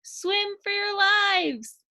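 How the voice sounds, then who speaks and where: A dramatic little fish boy from a storybook, played by a woman, high and bright. He is easily exasperated and exclaims his words. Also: extremely slow, heavily acted. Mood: alarmed. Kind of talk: storybook character voice.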